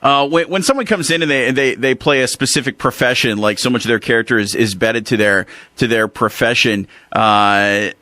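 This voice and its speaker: Bright-voiced halting radio host. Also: male voice, mid-range voice, no echo. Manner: halting, stumbling over his questions. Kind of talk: radio interview.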